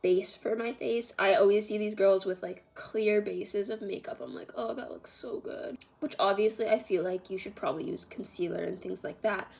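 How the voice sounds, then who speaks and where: A woman's voice: mid-range voice, fairly even intonation, moderate pace, creaky, hardly any pauses, a little mellow. A woman chatting casually through a makeup tutorial in an informal, meandering, self-deprecating way. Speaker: beauty vlogger.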